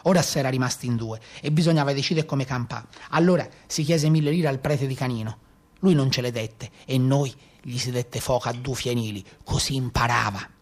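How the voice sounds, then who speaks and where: An actor playing a rough, boastful Maremma brigand in a theatrical monologue, with a Tuscan accent and earthy, colloquial storytelling. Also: male voice, medium pitch, fairly quick, a little mellow, close to an everyday voice. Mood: boastful, blunt.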